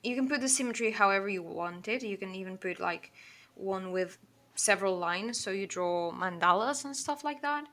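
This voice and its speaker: A woman from Portugal with a Portuguese accent, speaking English as a non-native language, chatting casually and quickly while she draws on a livestream, full of "like" and self-corrections. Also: mid-range voice, lively intonation, fairly bright tone. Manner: fast-talking, rambling, with frequent "like" and self-corrections. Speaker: artist streaming a drawing session.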